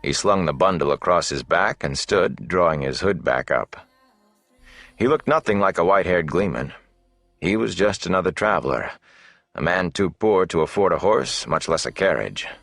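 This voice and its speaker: A man narrating a fantasy novel as an audiobook in a measured, dramatic way. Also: low voice, expressive, vocal fry, a bit bright, no room sound. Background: faint music.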